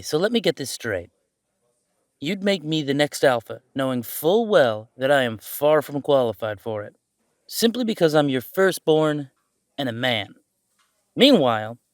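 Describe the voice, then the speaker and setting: A man's voice: a little high, no echo, studio quality. A man playing a young adult werewolf son in an audio roleplay drama, sardonic and rebellious, with a wry, skeptical delivery.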